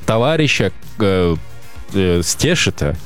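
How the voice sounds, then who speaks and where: A young man with a low voice chats as a guest musician on a show. He rambles a bit, stumbling over fillers, while faint music plays underneath. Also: very animated, slow. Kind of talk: casual interview chat.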